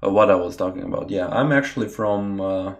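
A man's voice: sits low, brisk, creaky. A young man from Croatia, streaming live chess from a small room and thinking aloud about moves as he chats with viewers through a webcam mic. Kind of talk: live game commentary with chat.

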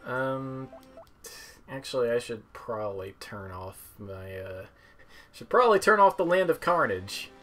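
A young man talks through a video game demo in a casual, offhand way. His voice sits at an average male pitch, and his delivery is a bit halting. Game music plays clearly behind him. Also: animated, bright, forceful tone. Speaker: gamer demoing video game characters. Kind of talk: casual gameplay commentary. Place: indoor room at home, seated.

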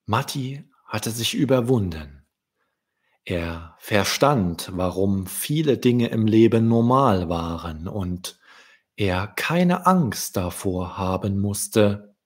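Slow, low-voiced young man storyteller, slightly husky. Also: a little mellow. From Germany. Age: young adult. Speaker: children's author, channel host. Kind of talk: storytelling for children. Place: small indoor room. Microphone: close desk microphone with pop shield.

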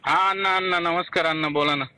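Bright, deferential man on a phone. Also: on the high side, fairly even intonation, fast, no room sound. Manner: deferential, eagerly agreeing. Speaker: political party worker. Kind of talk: phone call conversation. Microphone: telephone.

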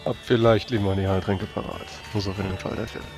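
A man's voice: on the low side, level tone, fast talker, vocal fry. A man playing a tabletop role-playing game, casually describing and speaking his character's actions. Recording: music under the voice. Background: background music.

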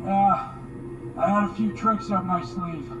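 An actor playing an eccentric, awkward but friendly male war veteran in a video game cutscene, speaking in halting, offhand short phrases. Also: high voice, steady tone, dull audio, music in the background. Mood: upbeat, helpful.

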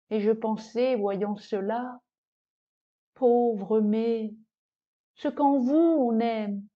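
A woman voicing a vain, naive cheese in a recited poem, lamenting its fate in a plaintive, theatrical way with dramatic pauses. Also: on the high side, highs cut off. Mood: indignant, plaintive.

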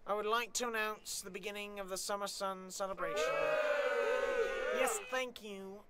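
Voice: medium pitch, steady tone, normal speed, sharp tone, slight background noise, close to an everyday voice. A performer voicing a bossy, blunt cartoon pony making curt announcements in a comedic parody dub. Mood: announcing.

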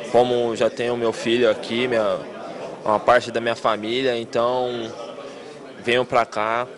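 A Brazilian football player in his thirties, a man with a fairly low, very level voice. He speaks calmly and gratefully while a crowd murmurs behind him. He holds a handheld reporter's mic. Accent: Brazilian Portuguese. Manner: calm, grateful, unhurried. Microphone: handheld microphone.

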